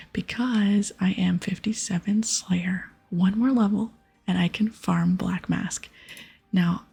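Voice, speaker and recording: Female ASMR gaming host, very breathy whisper with some creak, gentle and chatty, close on the mic. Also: mid-range voice. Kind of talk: whispered ASMR gameplay commentary.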